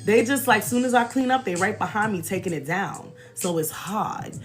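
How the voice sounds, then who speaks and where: An American woman in her forties hosting a casual, chatty livestream with animated, emphatic delivery and informal slang. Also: mid-range voice, moderate pace. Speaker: livestream host. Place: small indoor room, backdrop behind. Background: faint music.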